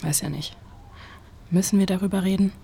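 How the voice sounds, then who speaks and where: A woman's voice: medium pitch, steady tone, fairly quick, mellow, a little hiss or hum, lightly acted. A young woman playing a dry, sarcastic critic in a naturalistic audio drama conversation.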